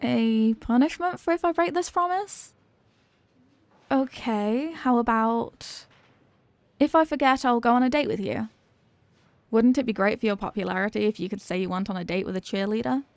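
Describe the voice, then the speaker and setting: A woman plays a popular cheerleader girl in an ASMR roleplay, talking one-on-one to her tutor. Her voice is fairly high with a creaky edge, recorded close and dry. She is evasive and easily flustered, hesitating and getting defensive. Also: moderate pace, a little mellow, dry recording, lightly acted. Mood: haughty, teasing. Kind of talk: ASMR roleplay, acted one-sided conversation.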